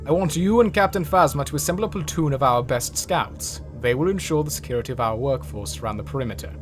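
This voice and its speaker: A man's voice: mid-range voice, lively intonation, fast, faint music behind, natural voice. A man voicing a haughty, irritable space opera general in a comic dub, speaking in a clipped, formal, imperious manner. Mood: stern, controlled. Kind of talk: dramatic voice acting in a comic dub.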